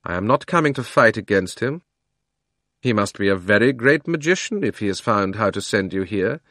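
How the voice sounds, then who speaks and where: A man reading an audiobook who voices a proud, imperious witch queen, speaking slowly and with scorn. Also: lowish voice, lively intonation, a bit bright, no echo, clean studio sound. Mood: haughty. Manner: slow, commanding, scornful delivery. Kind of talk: audiobook character voice.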